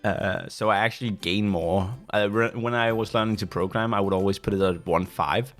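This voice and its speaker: A young Danish man hosting a casual solo podcast, pausing often and restarting sentences as he looks for the right word. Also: on the low side, fairly bright tone. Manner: pauses often to search for words, many restarts. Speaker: podcast host. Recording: faint background music.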